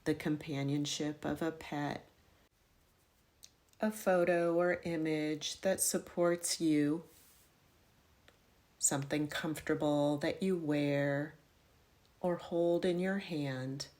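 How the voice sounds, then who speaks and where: A woman guiding a yoga nidra meditation in a measured, instructional way, offering gentle directions. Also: low voice, fairly even intonation. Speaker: meditation guide.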